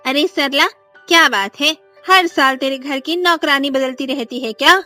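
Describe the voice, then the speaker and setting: A woman's voice: sits high, normal speed, bright, forceful tone, soft background music. A woman voicing a snobbish rich friend who mocks the bride in a haughty way. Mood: mocking. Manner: mocking, haughty.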